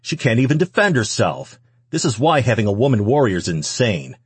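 A man's voice: low voice, animated, normal speed, husky, no echo. A man voicing an indignant, hot-headed winged male recruit who mutters and growls in a story reading. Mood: outraged.

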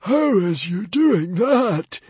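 A man's voice: high-pitched, expressive, takes it slowly, darkish tone, husky, dry recording, voice pushed up, exaggerated voice. A man voicing a hissing, whiny male librarian villain in an audiobook reading. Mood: menacing, screeching.

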